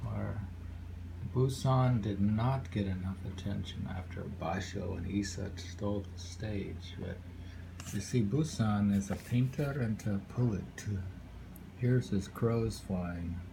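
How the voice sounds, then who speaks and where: A man reading haiku aloud with casual commentary, in an unhurried, musing way with pauses between phrases. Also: lowish voice. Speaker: poetry reader and commentator. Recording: clearly noisy home recording with ambience.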